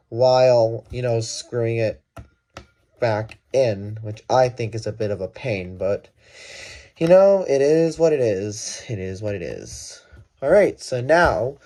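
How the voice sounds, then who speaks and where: A man's voice: mid-range voice, mellow. A man giving a casual hands-on gadget demonstration, thinking aloud and correcting himself as he goes. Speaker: product reviewer.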